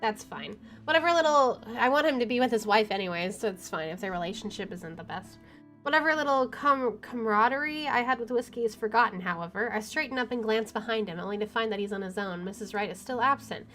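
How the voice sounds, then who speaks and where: A woman's voice: a little high, animated, fast, a bit bright, soft background music. Chatty woman reading a visual novel. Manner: chatty, reads narration then reacts aloud. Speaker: game streamer.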